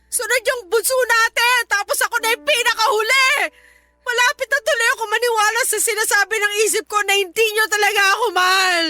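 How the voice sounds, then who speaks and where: High-voiced, wounded Filipino daughter. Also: female voice, fairly even intonation, a little fast, bright, forceful tone. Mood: tearful, bitter. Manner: emotional, reproachful, pleading. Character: hurt, resentful adult daughter, dutiful family breadwinner. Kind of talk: Filipino radio drama acting.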